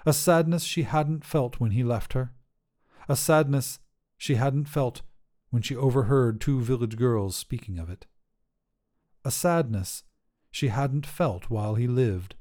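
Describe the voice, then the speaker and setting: A man narrating a scripted short story for a podcast in measured, deliberate phrases with pauses. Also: mid-range voice, a little mellow.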